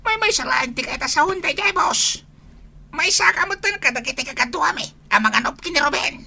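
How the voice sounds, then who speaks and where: A woman voicing a squeaky, servile, slow-witted henchman in an Ilocano radio drama, with a shrill, creaky voice and hesitant delivery. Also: lively intonation, sharp tone, heavily acted. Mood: nervous, servile.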